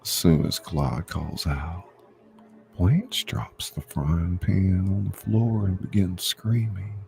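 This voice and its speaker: Very deep, slow male screenplay narrator. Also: fairly even intonation, vocal fry, darkish tone, a little hiss or hum. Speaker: narrator of screenplay readings, channel host. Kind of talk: scripted narration of stage directions.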